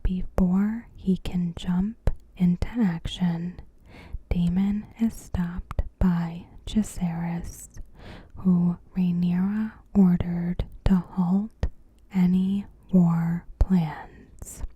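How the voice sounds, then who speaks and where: A woman ASMR host narrating a recap in a soft, breathy whisper, with hushed, deliberate phrasing and gaps between phrases. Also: fairly low pitch, fairly even intonation, dry recording, highs cut off.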